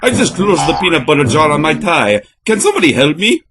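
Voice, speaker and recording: Guy doing bumbling old king, apologetic, cartoon dub, swoopy pitch, noisy clicky recording. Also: very animated, fast. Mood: helpless. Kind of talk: comic book fan dub.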